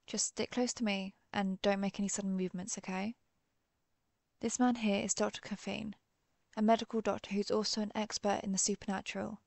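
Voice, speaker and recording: Casting: actress for protective female vampire hunter, herself a vampire; intimate roleplay audio, confiding and measured, mid-pitched with creaky edges. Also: a little mellow, dry recording, natural voice. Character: protective, guilt-ridden female vampire who hunts vampires. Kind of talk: intimate scripted audio roleplay addressed to the listener.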